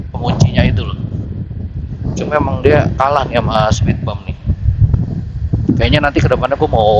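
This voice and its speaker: A man's voice: medium pitch, highs cut off. A man with a Jakarta Indonesian accent chatting casually and slangily to viewers, thinking aloud as he rides a motorcycle, on a close helmet intercom mic. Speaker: motorcycle vlogger. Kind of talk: casual chat to viewers while riding. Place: outdoors on a motorcycle in city streets. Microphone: intercom mic a finger from mouth, inside helmet. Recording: helmet intercom mic, clear vehicle noise. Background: traffic and engine noise.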